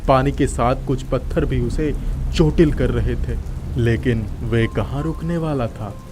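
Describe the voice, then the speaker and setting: A man's voice: mid-range voice, a little slow, noisy background. A man narrating a scripted children's moral story as a storyteller.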